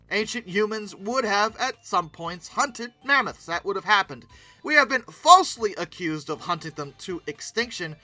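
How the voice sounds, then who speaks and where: A host giving casual, humorous reaction commentary in an animated, theatrically incredulous way, prone to exclaiming. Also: medium pitch. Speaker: video host commenting on old dinosaur art.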